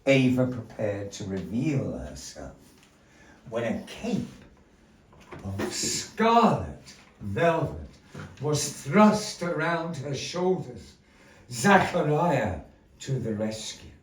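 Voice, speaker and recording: A man narrating a puppet show tale to a live audience, with a slow, theatrical delivery that rises and falls. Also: medium pitch, sing-song, far from the mic. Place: gallery space. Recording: echoey gallery room, voice from afar, faint noise.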